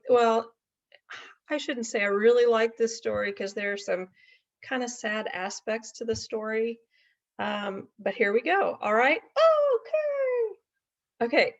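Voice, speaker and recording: A middle-aged woman telling a casual story in a folksy, chatty way, full of asides and check-in questions. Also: fairly high pitch. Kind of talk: casual anecdotal storytelling to an audience. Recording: dull lo-fi recording.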